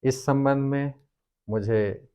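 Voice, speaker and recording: A man giving a formal explanatory lecture on law, speaking deliberately in short, halting phrases as a presenter. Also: medium pitch, fairly even intonation, dark tone, clean tone.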